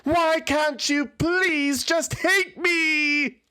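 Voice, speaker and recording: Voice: high voice, fairly even intonation, extremely slow, bright, forceful tone, pitched above the performer's own voice, exaggerated voice. A narrator voicing an anguished young feathered warrior woman in a fantasy audio story, impassioned and pleading, full of despair and self-loathing. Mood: wounded anger.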